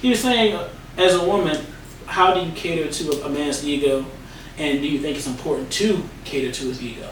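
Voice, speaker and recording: A man's voice: on the high side, fairly quick, very echoey, far from the mic, a little hiss or hum, poor audio. A man hosting a podcast, joking and asking his guests questions in a casual way. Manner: joking, asks questions, reads listener question. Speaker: podcast host.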